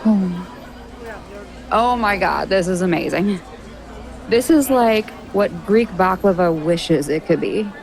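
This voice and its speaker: An actress playing a wry, awkward young American woman adventurer in a fantasy audio drama, with an American accent and a creaky voice. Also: lowish voice, lively intonation, slow, pauses often, some chatter behind, heavily acted, poor audio. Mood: amazed, joking. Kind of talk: naturalistic audio drama acting.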